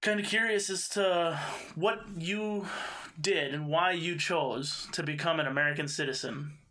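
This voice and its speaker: A man's voice: on the high side, a little slow, pushed and bright. A male podcast host chatting casually, loose and joking, now and then swearing. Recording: distant mic with faint noise.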